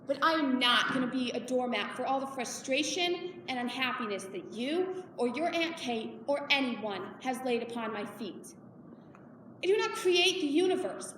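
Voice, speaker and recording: A woman's voice: on the high side, fairly bright tone, lightly acted. Woman acting a weary, defiant widowed mother, distant and echoey. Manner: firm, emphatic, defiant. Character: weary, embittered widowed mother confronting her daughter. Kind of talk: dramatic stage monologue. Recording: echoey hall, far from mic, noisy.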